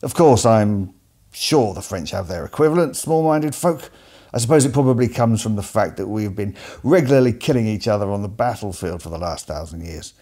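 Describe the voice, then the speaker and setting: A man in his forties from England, hosting with dry, deadpan, scripted comedic narration to the camera. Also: on the low side, a little fast. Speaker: host. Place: indoors, seated at home. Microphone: facing camera at close range.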